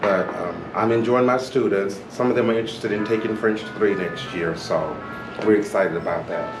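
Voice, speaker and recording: Relaxed male teacher, lowish voice, echoey classroom, music playing behind. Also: moderate pace. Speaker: French teacher. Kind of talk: open-house classroom talk. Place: school classroom.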